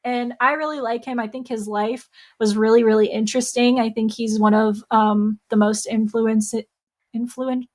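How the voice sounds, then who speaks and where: A young adult woman hosting a solo podcast, chatty and upbeat, her voice a little hoarse from a dry throat. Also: on the high side, steady tone, moderate pace. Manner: chatty, upbeat, with fillers and laughs. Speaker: podcast host. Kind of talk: casual solo podcast talk.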